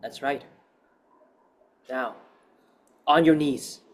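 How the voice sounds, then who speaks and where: A man playing a smug, sadistic office worker in his twenties in a crime drama read, mocking his captor with drawn-out taunts. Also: mid-range voice.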